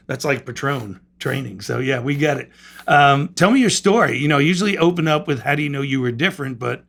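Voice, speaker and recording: A gravelly-voiced middle-aged man hosts a casual podcast. He talks loosely, throws in jokes and trails off into fillers, at a middling pitch. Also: animated, a little fast, a bit bright. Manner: loose and joking, many fillers. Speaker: podcast host and trainer. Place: gym.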